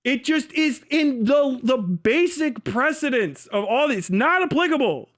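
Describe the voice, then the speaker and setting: High-pitched man ranting on a livestream. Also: lively intonation, a little slow, a bit bright. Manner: heavy casual swearing; emphatic, repetitive, argumentative. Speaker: live stream host. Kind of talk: off-the-cuff livestream legal commentary.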